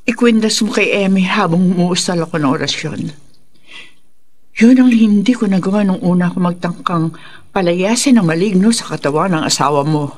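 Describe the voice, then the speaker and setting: Actress as a solemn, resolute faith healer. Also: female voice, fairly low pitch, darkish tone, natural voice. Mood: grave, commanding. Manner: solemn, deliberate, authoritative. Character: resolute faith healer mother battling evil spirits. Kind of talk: Filipino horror radio drama acting.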